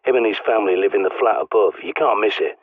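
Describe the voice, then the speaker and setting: An actor playing a gruff, bossy middle-aged survivalist in a video game, blunt and sardonic, heard through a radio filter. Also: male voice, lowish voice, quick pace, dry recording. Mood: grave, explanatory. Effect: radio transmission filter. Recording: tinny, band-limited radio with static.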